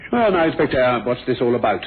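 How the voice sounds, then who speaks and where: Very husky, courteous businessman. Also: mid-range voice, very animated, fast talker. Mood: smoothly courteous. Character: effusively courteous, evasive, uneasy businessman. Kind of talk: radio drama acting. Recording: phone-like old radio recording.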